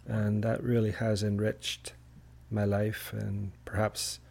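A middle-aged man from Northern Ireland speaks as a guest in a lowish, gravelly voice. His wording is measured and a little formal. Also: monotone, darkish tone. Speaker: podcast guest.

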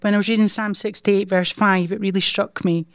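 A woman's voice: mid-range voice, steady tone, fairly quick, no echo. A woman co-hosting a faith podcast, speaking in a thoughtful, reflective way. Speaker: podcast co-host.

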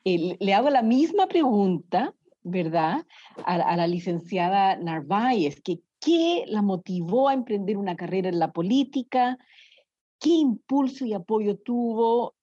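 Woman moderating, polite, kinda hesitant, mellow voice, muffled dry audio. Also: mid-range voice, sing-song, unhurried, dry recording. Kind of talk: moderating a panel. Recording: dull, dry lo-fi sound.

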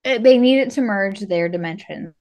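A woman's voice: mid-range voice, normal speed, a little mellow. A woman co-hosting a podcast, talking casually about a film in a conversational way. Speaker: podcast co-host. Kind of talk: casual movie discussion.

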